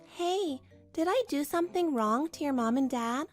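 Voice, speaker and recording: A woman acts out a young wife in a manga drama, high-voiced and polite. She is meek and hurt, choosing her words hesitantly. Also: expressive, normal speed, faint music behind. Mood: timid.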